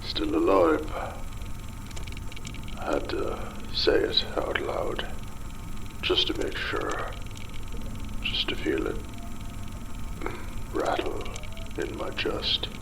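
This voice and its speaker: Very deep, gravelly, haunted father. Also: exaggerated voice. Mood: weary, dazed. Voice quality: gravelly, breathy half-whisper. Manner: halting, broken phrases with heavy pauses. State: exhausted, parched. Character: haunted, splicing-mad father fleeing a ruined undersea city. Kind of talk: dramatic horror monologue, audio drama.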